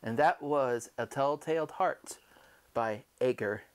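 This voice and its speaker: Slow-speaking story reader, mid-pitched. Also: a little hiss or hum. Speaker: story reader for a studio's reading show. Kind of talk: radio-style literary reading.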